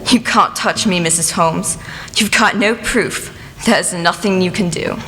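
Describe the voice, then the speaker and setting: Woman playing a bright-voiced mad village villainess, echoing hall. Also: on the low side, measured pace. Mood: defiant. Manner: gloating, defiant, rustic phrasing. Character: mad, power-hungry village woman bell-ringer villain. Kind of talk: radio play acting. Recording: long reverb, music underneath.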